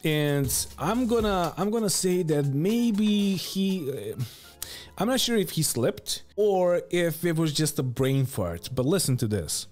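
A man's voice: fairly high pitch, big pitch swings, takes it slowly, a little mellow. Blunt man in his thirties over music. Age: 30s. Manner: blunt, emphatic, sweary. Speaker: video commentator. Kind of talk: opinionated casual commentary. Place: home studio room. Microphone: close boom microphone with headphones.

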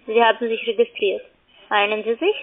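Tinny telephone sound, woman, slightly high, non-native speaker, formal, clipped, cold caller. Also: level tone, a little fast. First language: not German. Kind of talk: phone sales pitch. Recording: tinny phone line.

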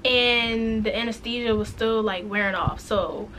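A raspy-voiced woman in her twenties telling a frank, chatty story-time vlog to camera, full of "like" and "um". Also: fairly high pitch, fairly even intonation, unhurried, fairly bright tone, a little hiss or hum, poor audio. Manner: chatty, frank, many "like" and "um" fillers. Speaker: vlogger. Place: indoors, plain light wall, small room. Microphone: camera in front, head and shoulders.

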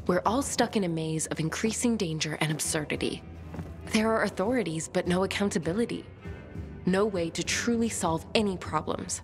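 An actress playing a bookish, flirtatious woman survivor in a video game, speaking in articulate, measured phrases. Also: fairly low pitch, faint music behind, lightly acted. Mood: thoughtful, curious. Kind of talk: video game cutscene acting.

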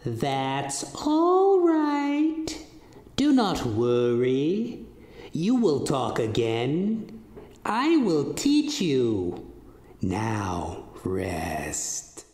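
Slow, theatrical mad scientist. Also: male voice, medium pitch, huge pitch swings, a little mellow, heavily acted. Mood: soothing. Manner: theatrical, patronising. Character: male mad scientist, excited, fame-hungry, falsely soothing. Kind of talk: horror audio play acting. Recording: echoey reverberant room, music bed.

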